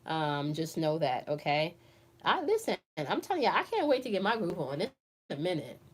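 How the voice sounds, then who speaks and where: A woman's voice: on the low side, big pitch swings, moderate pace, slightly rough. A woman in her forties hosting a casual live chat, reading viewer comments aloud and answering with playful, laughing banter. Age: 40s. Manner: chatty and playful, laughs often, addresses viewers as y'all.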